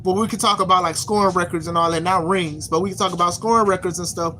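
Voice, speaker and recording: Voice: medium pitch, steady tone, fast, pushed and bright, a little hiss or hum. Rambling thirty-something podcast host. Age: 30s. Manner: rambling, repeats words, slangy. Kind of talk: casual opinion commentary.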